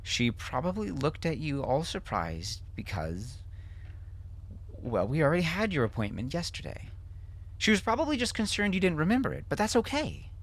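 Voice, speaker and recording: A man voicing a weary father in an audiobook, who explains medical matters in a careful, halting way to reassure. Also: on the high side, wildly swooping pitch, pitched above the performer's own voice, full character voice. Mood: reassuring, uneasy. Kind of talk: audiobook character acting.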